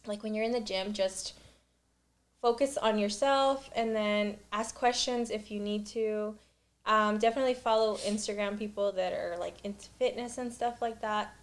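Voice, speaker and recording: A woman's voice: medium pitch, fairly even intonation, normal speed. A young woman, a student, chatting as a podcast guest, talkative and full of "like" fillers. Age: young adult. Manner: chatty and giggly, fills with "like". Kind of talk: casual chat.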